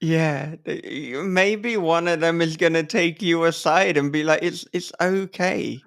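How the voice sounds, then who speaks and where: A man hosting a casual, joking interview in a playful, giggly and halting manner. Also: a little high, creaky, a bit bright, clean tone. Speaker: podcast host.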